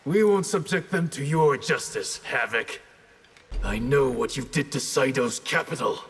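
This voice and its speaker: An actor playing a vengeful ninja guardian in a video game, stern and contemptuous with a raspy voice. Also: male voice, mid-range voice, expressive, a little slow, fairly bright tone, full character voice. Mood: stern, threatening. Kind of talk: video game fight banter.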